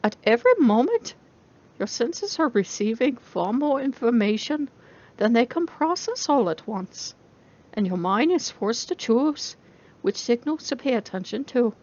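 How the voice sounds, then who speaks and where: A woman's voice: on the high side, very animated, a little slow, darkish tone, lo-fi sound. A woman voicing an intense female robotics professor who lectures her class in a didactic, emphatic way, as in an audiobook. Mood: instructive.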